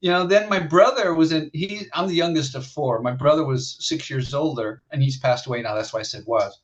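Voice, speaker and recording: A man's voice: a little high, fairly quick, poor audio. A man in his sixties from the Netherlands, telling his life story as a musician guest in a casual interview, with many restarts and fillers.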